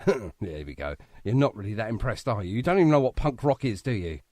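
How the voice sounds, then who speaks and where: A man's voice: on the low side, sing-song, fairly quick, darkish tone, no echo, clean studio sound. A man hosting a live radio show in a chatty, jokey way.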